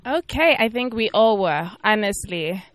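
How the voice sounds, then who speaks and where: A woman's voice: medium pitch, animated, measured pace, fairly bright tone, no room sound. A woman hosting an interview, asking upbeat, encouraging questions. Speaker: interview host.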